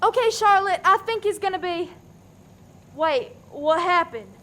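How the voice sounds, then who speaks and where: A woman's voice: extremely high pitch, measured pace, fairly bright tone, a little hiss or hum. A woman playing an earnest, helpful, easily startled young woman in a farcical radio play. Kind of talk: farce radio play acting.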